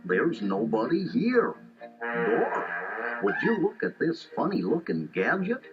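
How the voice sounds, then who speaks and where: A man's voice: medium pitch, wildly swooping pitch. A man voicing a dim, good-natured, bumbling cartoon dog with a hollow, folksy drawl in a children's audio storybook. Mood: puzzled. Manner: slow-witted, folksy, bumbling. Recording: dull lo-fi tape, music behind.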